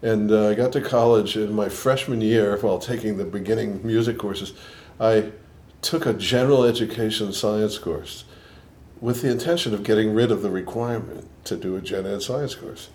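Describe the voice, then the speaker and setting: A man's voice: fairly low pitch, normal speed, darkish tone. An older man from Boston with a mixed Boston and New York accent, a scientist recalling his life in an interview, thoughtful with frequent restarts. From Boston, USA. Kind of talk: reflective interview answers.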